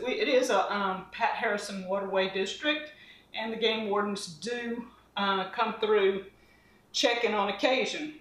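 A woman's voice: mid-range voice, a bit bright. A middle-aged woman, chatting homespun-style as she demonstrates a recipe in her home kitchen. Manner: chatty and homespun, talking while mixing dough by hand. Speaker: cooking channel host. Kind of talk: casual cooking demonstration. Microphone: camera across the counter. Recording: roomy kitchen, mic at a distance.

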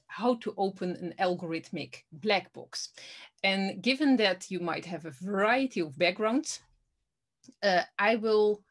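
A woman in her thirties from the Netherlands, with a Dutch accent, giving an academic presentation over a video call. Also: mid-range voice. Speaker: guest lecturer, law academic. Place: book-lined room, indoors. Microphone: video call, earphones with inline mic.

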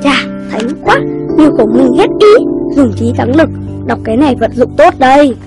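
A very high-pitched young cartoon boy, full of boastful swagger. He speaks emphatically, hot-headed and sure of himself, over prominent music. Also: very animated, normal speed. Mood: gleeful. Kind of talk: cartoon voice acting. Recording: music behind cartoon dialogue.

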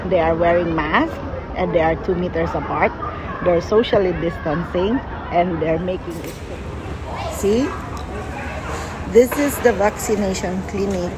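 A woman's voice: fairly low pitch, measured pace, pauses often. A young woman whose first language is Filipino, filming a walking vlog on a phone and talking earnestly, repeating words for emphasis. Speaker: vlogger. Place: outdoors on a busy city waterfront plaza. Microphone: handheld phone camera, speaker off screen. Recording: outdoor phone recording with street noise.

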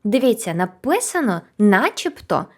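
A woman teaching a language lesson in an animated, chatty, encouraging way with emphatic repetition. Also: medium pitch, moderate pace. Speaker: Korean language teacher and video host. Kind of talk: conversational language lesson to camera.

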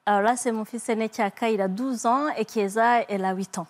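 A woman in her forties from Rwanda, a psychologist, giving halting testimony as a guest on a TV studio set, speaking softly with many pauses and repeats into a lapel mic. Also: on the high side, steady tone. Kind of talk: emotional TV interview testimony.

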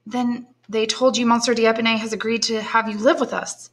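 A woman's voice: fairly high pitch, flat delivery, a little fast, pitched above the performer's own voice, natural voice. A woman reading aloud and voicing a young woman, an earnest and worried granddaughter pleading with her grandfather. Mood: anxious.

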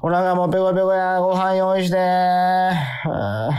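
A man's voice: high voice, steady tone, a little slow, a little mellow, smooth, pitched above the performer's own voice. A man acting an exhausted, flustered Osaka father with a Kansai accent in a radio drama. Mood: worn out.

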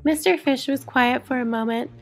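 Lady reading a kids book, high lilting voice w some creak, soft music behind. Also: moderate pace. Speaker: storyteller. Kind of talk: children's storybook read-aloud.

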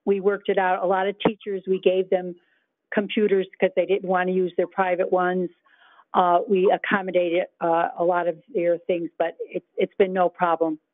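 Man reporting in a meeting, high flat voice, sounds like a tinny phone. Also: normal speed, dry recording. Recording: thin phone-like call audio.

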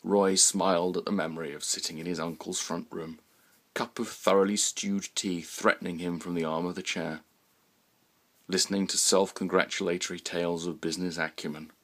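Low-voiced British man reading a story. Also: flat delivery, normal speed. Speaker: author reading own short story. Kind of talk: scripted literary narration.

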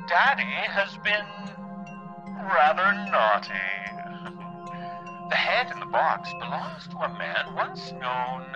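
A man's voice: on the high side, muffled recording, exaggerated voice. A middle-aged man playing a sinister, murderous father in a horror audio drama, theatrical and mock-folksy, speaking through a radio-like filter. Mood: smug, sinister. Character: gloating, murderous dead father on a tape recording. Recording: band-limited tape-voice sound over music.

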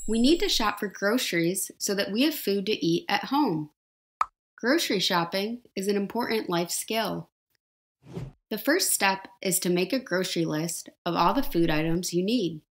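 A woman reads a life skills lesson as a voice-over, with a steady, medium-pitched voice. She lays out each step slowly and clearly, in simple words, and now and then asks the listener a question. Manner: slow, clear, simple explanations with questions to the viewer. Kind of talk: scripted educational narration.

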